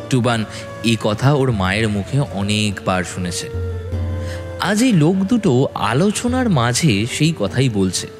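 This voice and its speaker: A man narrating a ghost story as a scripted audio drama, in a dramatic storytelling style. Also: mid-range voice. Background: background music.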